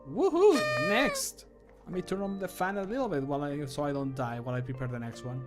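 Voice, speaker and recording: A man's voice: on the high side, lively intonation, a little fast, over loud music. A man modding a game and recording voice lines, chatting casually to himself and laughing often. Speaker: modder and streamer.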